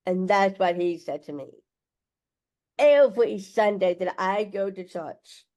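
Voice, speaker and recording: Slow, mellow young woman. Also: medium pitch. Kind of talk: personal testimony on a video call. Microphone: video call.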